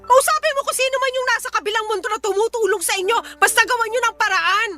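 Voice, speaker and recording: A woman's voice: very high-pitched, fast, pushed and bright, soft background music. A woman acting a desperate, demanding young mother in a Filipino radio drama, indignant and insistent.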